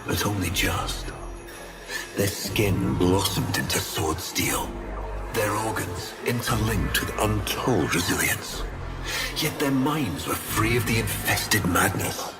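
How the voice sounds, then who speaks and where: A haughty, vengeful leader from a sci-fi game with a very low voice. He speaks slowly and grandly, swinging between dramatic pauses and weighty pronouncements. Loud music plays behind him. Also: animated. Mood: grim, ominous. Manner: deliberate, grandiose, theatrical pauses. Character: haughty, vengeful male war leader making a grim confession.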